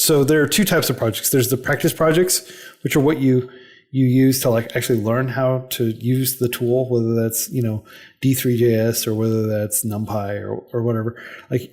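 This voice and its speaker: Casual male interview guest, mid-pitch, rambling and explanatory, fills pauses with "like". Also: a little mellow. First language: English. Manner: chatty, explanatory, lots of "like" and "you know" fillers. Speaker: interview guest, head of a coding non-profit.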